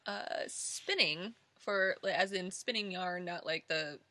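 A woman's voice: on the low side, takes it slowly, pushed and bright, dry recording. A woman co-hosting a casual podcast, playful and wry, laughing often. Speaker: podcast co-host. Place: rural home studio.

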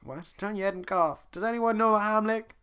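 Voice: a bit bright, smooth, close to an everyday voice. A podcaster doing an impression of a panicky, overexcited male talking donkey in a home podcast retelling. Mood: panicked. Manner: high-strung, rapid, overexcited. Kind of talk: amateur podcast voice acting.

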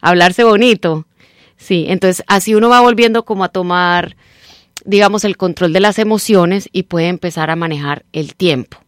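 A middle-aged Colombian woman with a slightly mixed Nicaraguan accent, speaking as a radio guest and time-management trainer in an animated, persuasive coaching tone. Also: on the low side, moderate pace, dry recording. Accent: Colombian Spanish mixed with Nicaraguan. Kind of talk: radio interview, promoting a seminar.

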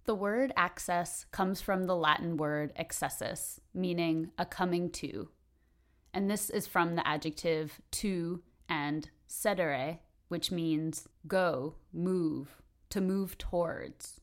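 A woman hosting a podcast, reading questions and closing credits in a measured, formal way. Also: lowish voice. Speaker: podcast host.

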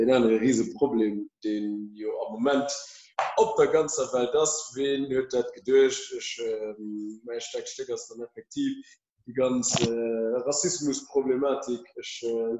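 Halting man in an echoey room. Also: mid-range voice, warm tone. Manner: halting, many fillers and restarts. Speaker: podcast host. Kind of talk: casual podcast discussion.

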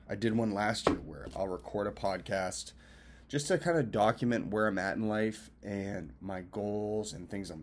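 Laid-back, low-voiced man in his twenties. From Massachusetts, US. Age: 20s. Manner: loose, profane, talks to viewers as friends. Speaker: filmmaker and event host vlogging. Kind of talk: casual rambling birthday check-in. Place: at a hotel.

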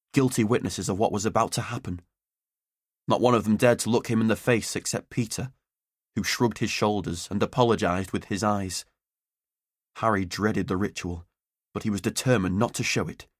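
A man's voice: on the low side, no echo, studio quality. A man reading a children's story as an audiobook narrator, in scripted narration.

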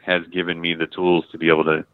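A man's voice: sits low, fast, no echo. A middle-aged American man from the Midwest, a photographer chatting casually in an interview, telling stories with lots of fillers and the odd swear word. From Ohio and Michigan, US. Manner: relaxed storytelling, heavy filler words, casual swearing. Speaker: guest photographer. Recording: dry, phone-like line.

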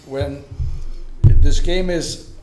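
A man's voice: on the high side, steady tone, very slow, dark tone, roomy sound, a little hiss or hum. A man giving soccer analysis in casual chat, often stumbling over repeated words and tagging his lines with "right?".

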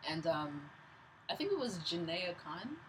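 A woman filmmaker from Canada, a guest on a podcast, telling stories in a fast, joking, slangy way in a studio. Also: on the low side, sing-song. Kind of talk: casual podcast chat. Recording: noisy studio recording.

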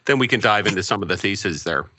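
Low bright-toned man hosting a podcast. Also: animated, no room sound. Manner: halting, many fillers and restarts when asking. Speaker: podcast host. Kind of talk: podcast interview.

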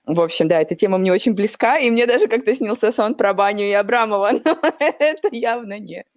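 A woman's voice: mid-range voice, fairly quick, no room sound, studio quality. A young woman from Ukraine, a podcast guest in casual chat who talks animatedly, laughs often and drops slang.

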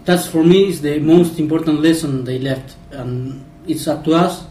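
Halting man, far from the mic. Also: a little high, dark tone, reverberant, a little hiss or hum. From Argentina. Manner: halting, many fillers and restarts. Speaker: podcast guest. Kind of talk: casual football podcast chat.

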